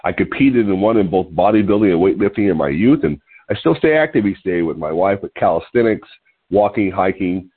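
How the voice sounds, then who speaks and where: A man's voice: deep voice, animated, a little fast, breathy edge. Sniffly man in his sixties on a tinny phone line. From California. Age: 60s. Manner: reads aloud with small asides and chuckles. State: nasal and sniffly from allergies. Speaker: host. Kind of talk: reading an article on a call-in show. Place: home room.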